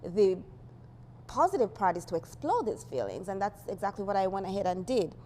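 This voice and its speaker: A woman's voice: mid-range voice, very animated, fairly quick, warm tone, slight background noise. A woman born in Uganda, talking casually about her artwork in a rambling way, with many "you know" fillers and easy laughs. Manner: laughs easily. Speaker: artist presenting her work.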